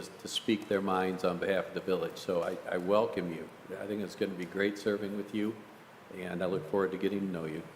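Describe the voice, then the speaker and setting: A man on a planning commission giving loud, rambling casual remarks at a public meeting. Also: low voice, a little hiss or hum. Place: council chamber, echoey room. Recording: echoey hall from a room mic.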